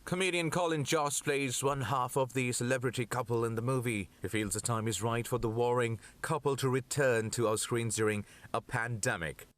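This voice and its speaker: A male reporter narrating a scripted entertainment news piece in a lively voice-over. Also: medium pitch, moderate pace, hardly any pauses.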